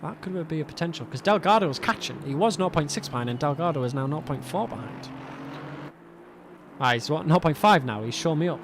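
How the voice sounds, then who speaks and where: A young man calls a sim race on his own in a fairly high voice, chatty and quick to laugh at himself. His voice is going slightly hoarse, and clear racing car engines run under him. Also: lively intonation, noisy background. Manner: chatty, self-mocking, laughs often. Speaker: race commentator. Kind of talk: solo sim racing commentary. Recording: game car sounds behind.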